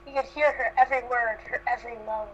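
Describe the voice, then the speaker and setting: Woman, high pitch, amateur narration, lo-fi sound, faint noise. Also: steady tone, fast talker, a bit bright. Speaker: fan fiction reader.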